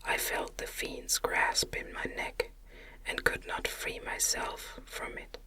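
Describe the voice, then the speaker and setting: A woman reading a gothic novel aloud as ASMR in a gentle, soothing, breathy whisper. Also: slow, rough recording. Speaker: audiobook reader.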